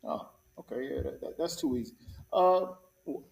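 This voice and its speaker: A man's voice: fairly high pitch, moderate pace, creaky, darkish tone. A man giving casual, folksy advice as an interview guest, pressing his points by repeating them. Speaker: stage actor, show guest. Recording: echoey room, distant from the mic.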